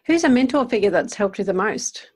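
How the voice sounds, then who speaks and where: A woman's voice: mid-range voice, lively intonation, brisk, darkish tone, husky. A woman hosting a casual interview in an encouraging, affirming way.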